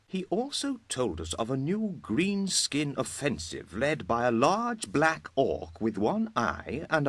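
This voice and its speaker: A man playing a dutiful, wry mercenary officer in a video game, delivering a deliberate military briefing. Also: medium pitch, a bit bright, husky, no echo, exaggerated voice. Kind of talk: video game cutscene acting.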